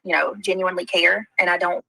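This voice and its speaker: A woman with a Southern US accent talking on her livestream, halting and hurt, defensive, with many fillers. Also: on the low side, little pitch movement, creaky, sharp tone, dry recording, low-quality recording. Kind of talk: casual livestream talk.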